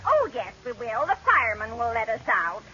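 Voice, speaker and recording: An actress playing a matter-of-fact, deadpan household maid in a vintage radio sitcom. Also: female voice, a little high, normal speed. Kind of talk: 1940s-50s radio sitcom acting. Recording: muffled old radio recording, faint noise.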